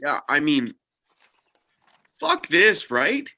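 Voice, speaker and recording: A performer plays a reluctant young man dragged along in a drama table read. The voice is sharp and forceful with animated swings. He sounds skeptical and exasperated. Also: mid-range voice, drawn-out words, full character voice.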